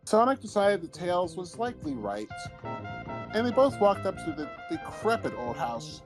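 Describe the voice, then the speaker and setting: A man narrating a story aloud in a dramatic, mock-serious way, with theatrical, drawn-out phrasing. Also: high-pitched, sing-song. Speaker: narrator reading fan fiction aloud with friends. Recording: music behind, deliberately old-fashioned microphone sound.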